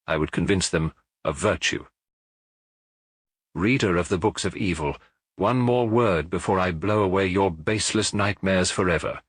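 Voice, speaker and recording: A man's voice: low voice, fairly bright tone, husky, no echo, studio quality, full character voice. A man voicing a proud, scornful sun-cult prophet in a lofty, oratorical manner for an audiobook story. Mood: scornful, exalted.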